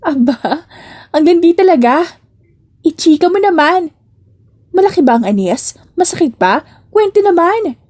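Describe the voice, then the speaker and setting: A woman voicing a cheeky, nosy office friend in a romance audiobook, excitable and sassy. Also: very high-pitched, a little slow, long pauses, pitched above the performer's own voice, full character voice. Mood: nosy, excited. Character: gossipy, cheeky office friends teasing a coworker.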